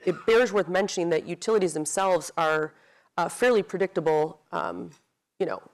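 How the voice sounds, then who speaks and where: A woman speaking as a utility executive on a business panel, measured and careful, with frequent "um" fillers. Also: lowish voice. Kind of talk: panel discussion.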